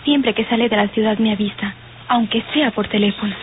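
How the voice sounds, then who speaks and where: An actress playing a composed, determined young daughter in an old radio soap. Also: female voice, on the high side, brisk, lightly acted. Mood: hushed, reassuring. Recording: tinny old radio recording, faint noise.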